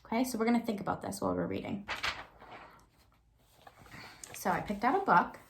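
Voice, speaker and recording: A woman speaks as an elementary school teacher leading a read-aloud for young children. Her voice sits at an average pitch for a woman. She talks the way a classroom teacher does, thinking aloud and checking in with small questions. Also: wildly swooping pitch, fairly quick, vocal fry, background noise.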